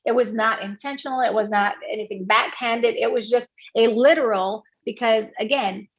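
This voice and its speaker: A woman's voice: fairly high pitch, normal speed, dull audio. A woman from Puerto Rico, a guest in an interview, talking earnestly with many restarts and fillers. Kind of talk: candid interview conversation.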